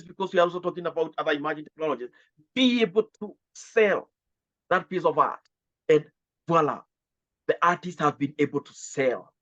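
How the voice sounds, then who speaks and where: A man's voice: sits high, a bit bright. A man, the head of an education organisation, answering interview questions in an earnest way, stacking ideas into lists. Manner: earnest, repetitive, builds lists. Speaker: CEO of a VR education organisation, guest. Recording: slightly dull lo-fi sound.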